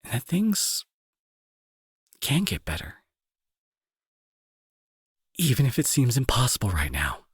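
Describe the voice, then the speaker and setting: Man acting a husky, remorseful bully. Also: medium pitch, wildly swooping pitch, no echo, clean studio sound, heavily acted. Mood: earnest, consoling. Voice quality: husky, breathy. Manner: halting, emotional, earnest pleading. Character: angry male bully turned remorseful, protective, grieving brother. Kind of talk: intimate dramatic audio roleplay monologue.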